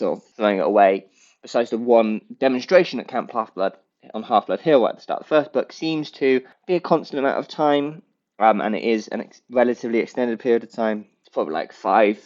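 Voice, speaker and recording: A man's voice: medium pitch, muffled recording. Stuffy-nosed man rambling on his podcast. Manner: rambling, self-correcting, playfully goofy. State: stuffy blocked nose. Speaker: podcast host. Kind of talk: casual solo podcast rambling.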